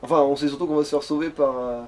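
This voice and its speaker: A man's voice: on the high side, steady tone, racing, a little mellow, a little hiss or hum. A man doing casual gaming commentary with a co-host, with crude, jokey, sweary banter. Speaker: let's play player.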